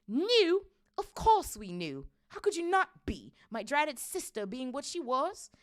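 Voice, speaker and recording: A woman's voice: high voice, very animated, a little slow, voice pushed up. A woman voicing a bitter, resentful middle-aged aunt in a storybook, ranting with scorn. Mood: shrill, resentful.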